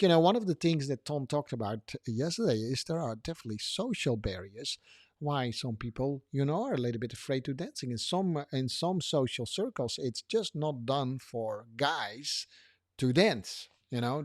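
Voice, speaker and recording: A man's voice: mid-range voice, lively intonation, normal speed, no echo. Dutch man hosting, close dry sound. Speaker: podcast host. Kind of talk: casual podcast chat.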